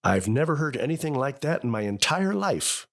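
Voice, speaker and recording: Sitcom father of old radio, middle-aged, lively swooping delivery, blustery yet sentimental. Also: mid-range voice, normal speed. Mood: exasperated. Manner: blustering, hesitant when sincere. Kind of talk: old-time radio family comedy-drama acting.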